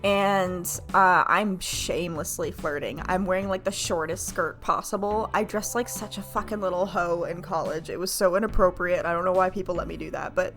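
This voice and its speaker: A woman's voice: on the low side, moderate pace, few pauses, faint music behind. A woman from Florida with an American accent, telling a candid personal story to her live stream chat in a slangy, profane, self-mocking way. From United States, Florida. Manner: animated, self-mocking, acts out quoted dialogue; profane, slangy, heavy use of "like".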